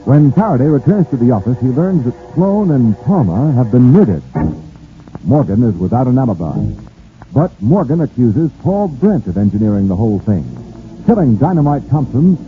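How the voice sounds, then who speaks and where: A man's voice: medium pitch, very animated, normal speed. A man narrating as a dramatic, scripted 1940s radio announcer. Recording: tinny 1940s radio recording. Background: music.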